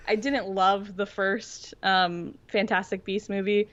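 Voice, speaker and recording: A woman's voice: mid-range voice, flat delivery, takes it slowly, a bit bright, muffled recording. A woman co-hosting a podcast in casual chat, gushing and informal, peppering her talk with "like". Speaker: podcast co-host.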